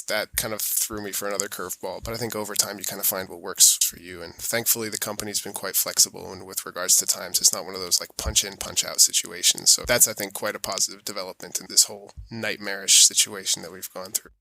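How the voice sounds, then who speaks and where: Bright, even-toned American man in conversation. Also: low-pitched, gravelly, low-quality recording. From born in New York, raised mostly in Europe. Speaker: podcast guest, sustainability auditor. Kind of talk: conversational interview answers.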